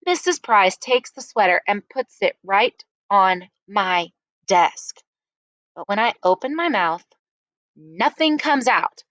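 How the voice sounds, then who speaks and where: A woman reading a first-person story aloud expressively, with dramatic, deliberate phrasing. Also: mid-range voice, animated, a bit bright, no echo, low-quality recording. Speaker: read-aloud narrator.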